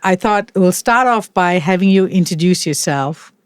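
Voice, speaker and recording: A man hosting a podcast interview, asking questions in a measured, deliberate way. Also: high-pitched, lively intonation, clear, resonant tone, no echo, studio quality. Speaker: podcast host.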